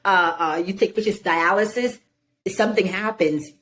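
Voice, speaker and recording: A woman's voice: mid-range voice, moderate pace. A woman in her thirties, born in Haiti, telling stories animatedly in a casual interview and leaning often on "you know". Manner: animated storytelling, many "you know" fillers. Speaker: guest.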